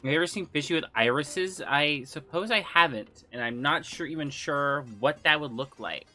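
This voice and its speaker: Bright-voiced rambling male game streamer. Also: fairly high pitch, normal speed, soft background music. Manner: rambling, trailing off and restarting, chatty with viewers. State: slightly phlegmy throat. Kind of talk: casual livestream chat while gaming.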